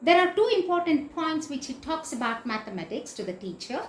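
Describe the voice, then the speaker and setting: Lady teacher explaining stuff like a lecture, high voice, really emphatic, roomy echo. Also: very animated, brisk. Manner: didactic, emphatic, repeats and spells out key words. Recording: echoey room.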